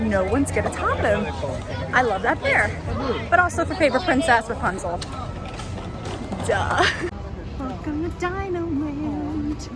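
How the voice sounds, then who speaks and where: A woman in her twenties from New York, chatting upbeat to viewers while walking through a theme park. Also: fairly high pitch. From Queens, New York. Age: 20s. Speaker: vlogger. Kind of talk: casual vlog chat. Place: outdoors at a busy theme park. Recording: outdoor crowd noise and park music.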